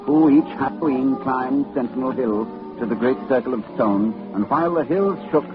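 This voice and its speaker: An actor playing a grave, urgent professor narrating horror in a formal, portentous 1940s radio style. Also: male voice, medium pitch, normal speed, over music, lightly acted. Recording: muffled 1940s radio recording.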